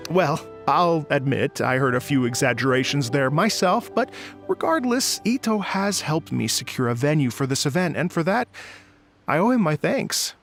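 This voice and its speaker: Polite, lightly high-voiced festival organizer. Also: male voice, expressive, normal speed, a bit bright, faint music behind. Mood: modest, grateful. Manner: courteous, slightly hesitant. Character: polite, earnest male musician organizing a festival. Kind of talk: video game cutscene acting.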